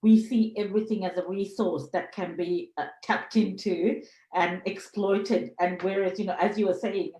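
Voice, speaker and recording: A woman from Sri Lanka moderating a panel discussion, speaking earnestly with many pauses and fillers. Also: medium pitch, fairly even intonation, mellow. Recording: roomy echo.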